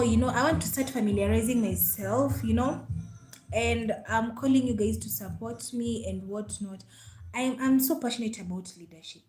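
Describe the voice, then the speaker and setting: Kenyan woman, fairly high voice, slow, repeats herself, live stream chat. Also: a little mellow, poor audio. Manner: slow, repeats phrases, reads out viewer comments. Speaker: livestream host.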